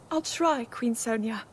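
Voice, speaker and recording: A woman's voice: fairly high pitch, slight background noise. A woman playing an earnest, polite and modest young princess in a video game cutscene. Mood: modest, hesitant.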